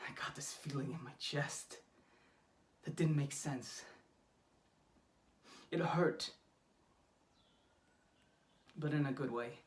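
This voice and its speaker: An actor playing a young lover confessing aching love in a halting, earnest, intimate monologue. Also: medium pitch, slight background noise, close to an everyday voice. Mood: wistful, yearning. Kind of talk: naturalistic monologue acting. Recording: distant microphone.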